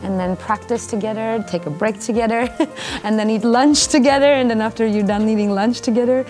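A woman's voice: on the high side, steady tone, fairly quick, a little mellow, soft background music, poor audio. A woman in her thirties from Armenia with an Armenian accent, talking about her life as a musician in an interview. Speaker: cellist and vocalist. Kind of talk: interview testimonial. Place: indoor backstage area, seated.

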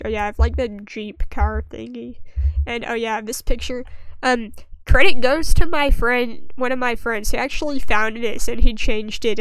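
A young woman with a fairly high, smooth voice chats her way through a game video. She sounds a little sniffly from a cold and rambles in a self-deprecating, dryly joking way. The recording is dry and close to the microphone. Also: dry recording, poor audio. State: sniffly, getting over a cold. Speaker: gaming video creator. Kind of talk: casual gameplay commentary.

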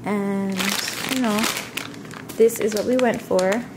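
A woman chatting casually as a vlogger, with an upbeat, expressive manner. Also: mid-range voice, slow, heavy background noise. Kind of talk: casual vlog chat.

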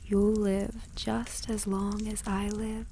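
Vengeful dying witch for radio drama, played by a woman: slow, breathy, coolly taunting, slightly noisy. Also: mid-range voice, little pitch movement, mellow. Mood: mocking, dying. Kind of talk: retro radio drama acting.

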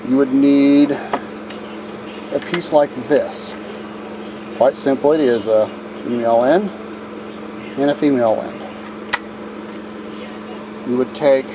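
A man's voice: mid-range voice, background noise. A man giving a casual how-to demonstration inside a motor home, talking in a folksy, plainspoken way with fillers and restarts, recorded on a handheld camcorder. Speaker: DIY video maker.

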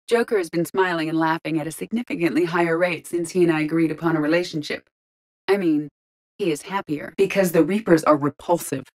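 Female android AI, low-pitched, measured, formal, analytical, detached, video game character. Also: a little mellow. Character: calm, analytical female artificial intelligence in an android body.

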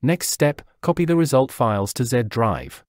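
Steady male tutorial narrator, dry sound. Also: medium pitch, normal speed, dry recording. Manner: steady, instructional, step-by-step delivery. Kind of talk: scripted software walkthrough voice-over. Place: voice-over over screen recording.

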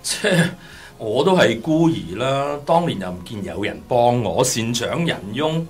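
A man's voice: mid-range voice, expressive, unhurried, lightly acted. Guy acting a tightfisted middle-aged hong kong cantonese boss, curt and snippy, radio play with music under it. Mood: irritated. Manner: brusque, dismissive, stammers when frightened. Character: stingy, sharp-tongued middle-aged male boss. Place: small padded radio studio.

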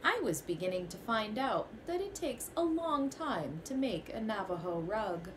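A woman's voice: mid-range voice, big pitch swings, a little slow, noisy background. A young woman teacher reading a children's picture book aloud in a small bedroom, telling it expressively in the first person.

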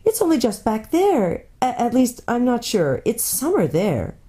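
A woman's voice: on the high side, huge pitch swings, a little slow, warm tone, pitched above the performer's own voice. A woman reading aloud, voicing a curious young girl who speaks directly and sounds a little puzzled.

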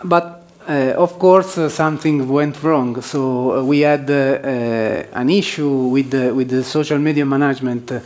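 A man's voice: mid-range voice, fairly even intonation, measured pace, few pauses, darkish tone. A man presenting at a developer conference as one of its organizers, hesitating often with fillers and restarts. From southern Switzerland. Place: conference hall.

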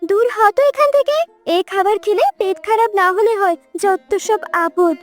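A shrill, very high cartoon aunt played by a woman, mean and stingy. She scolds with open scorn, over faint music. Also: expressive, normal speed. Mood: scornful. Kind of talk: cartoon fairy tale voice acting.

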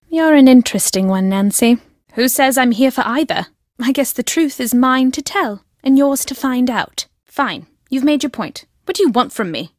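A woman playing a secretive, fervent guardian of her family's destiny in a video game, speaking solemnly and deliberately. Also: fairly high pitch, darkish tone, dry recording, studio quality, close to an everyday voice.

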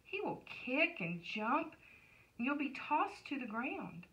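A woman's voice: high voice, big pitch swings, slow, bright, forceful tone, recorded from a distance, full character voice, poor audio. A woman voicing a worried male friend who gives anxious warnings in a children's storybook reading. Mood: doubtful warning. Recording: noticeable background noise.

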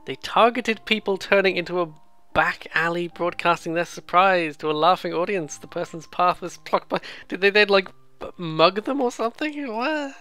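British let's player chatting over game music, bright clear voice, rambly and sweary, amused. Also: medium pitch, expressive, normal speed. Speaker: let's play host. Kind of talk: casual let's play commentary on a visual novel.

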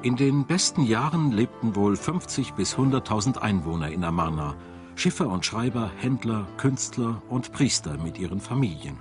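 Expressive male documentary narrator. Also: fairly low pitch, normal speed. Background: background music.

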